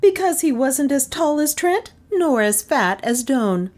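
Indignant young woman played by a female narrator, high-pitched, blunt and defiant, audiobook mystery. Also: very animated, a little slow, darkish tone.

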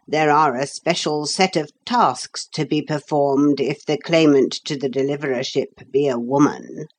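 Low voice, solemn, ceremonious, pompous male leader, coldly dignified, storybook, acted by a woman. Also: measured pace. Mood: formal, measured. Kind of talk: storybook character voice.

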